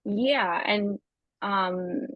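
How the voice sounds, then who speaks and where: A young woman with a Venezuelan accent, a native Spanish speaker, talking thoughtfully and a little haltingly as a therapist guest in a casual interview. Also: medium pitch, no echo. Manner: thoughtful, halting, searching for words. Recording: slightly dull lo-fi audio.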